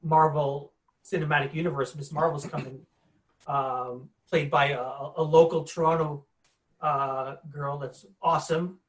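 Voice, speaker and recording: A man's voice: on the high side, fairly even intonation, unhurried, poor audio. A man hosting a podcast, putting questions to his guests in a casual way. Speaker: podcast host, interviewer. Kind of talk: casual interview.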